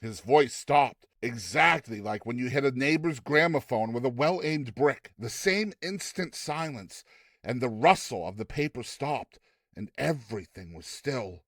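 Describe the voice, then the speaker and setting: Animated male storyteller, dry sound. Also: mid-range voice, unhurried, no room sound. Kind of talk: expressive dramatized story narration.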